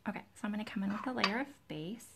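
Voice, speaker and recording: A woman hosting a live nail tutorial in a chatty, relaxed way, with a soft, breathy, soothing voice that often drops near a whisper, close to the microphone. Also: mid-range voice, racing, heavy background noise. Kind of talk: chatty live-stream demonstration, greeting viewers. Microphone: close to the camera's microphone.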